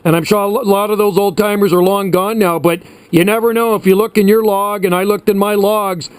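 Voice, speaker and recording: A man's voice: high-pitched, fairly even intonation, a little fast, clear, resonant tone, no room sound. A man of about sixty chatting casually and at length as a hobby radio operator, in a reminiscing way. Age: around 60. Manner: chatty, reminiscing, uses radio sign-offs.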